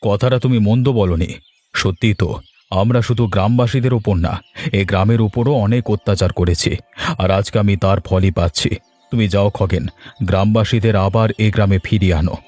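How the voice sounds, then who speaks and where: An actor playing a remorseful, ailing older village landlord in a moral-tale cartoon, speaking haltingly and earnestly. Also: male voice, fairly low pitch, fairly even intonation, darkish tone, faint music behind, natural voice. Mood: weary, reflective.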